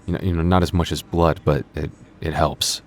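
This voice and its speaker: A man's voice: a bass voice, little pitch movement, fairly quick. A man voicing a young male vampire store coworker in an ASMR roleplay, flustered and teasing, with hesitant, sardonic banter. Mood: sheepish, explaining. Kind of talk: ASMR roleplay audio drama.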